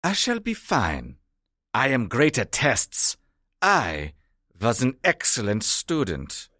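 An actor playing a pompous, sarcastic German military villain with a theatrical German accent in a comedy. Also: male voice, medium pitch, huge pitch swings, takes it slowly, pushed and bright, dry recording, clean studio sound, full character voice. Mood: haughty, commanding. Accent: mock German. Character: pompous, impatient German military villain, childishly vain, secretly soft.

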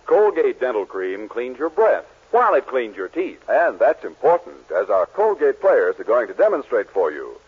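A man's voice: medium pitch, wildly swooping pitch, moderate pace, gravelly, hardly any pauses, slightly rough. A man announcing a scripted 1940s radio commercial, on a tinny old broadcast recording.

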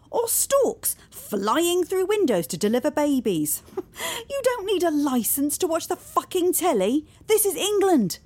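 A woman's voice: high-pitched, a little mellow. A woman playing an eccentric, mocking contrarian in her forties in an audio sitcom, with a sarcastic manner. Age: 40s. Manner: sing-song scoffing, sarcastic.

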